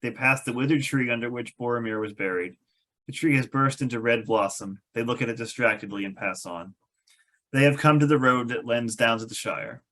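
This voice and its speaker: A man's voice: mid-range voice, a little fast, fairly bright tone. A man narrating a table read of a screenplay, reading stage directions with wry, amused asides.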